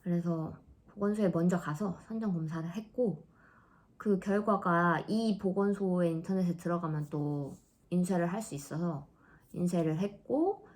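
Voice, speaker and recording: A woman in her thirties chatting casually in a vlog, laughing often and dropping into soft asides. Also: lowish voice. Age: 30s. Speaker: vlogger.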